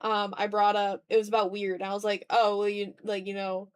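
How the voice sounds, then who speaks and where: A young adult woman chatting casually on an art livestream, animated and slangy, with a self-deprecating way of talking. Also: medium pitch, fairly quick, clear, resonant tone. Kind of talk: casual livestream chat while drawing.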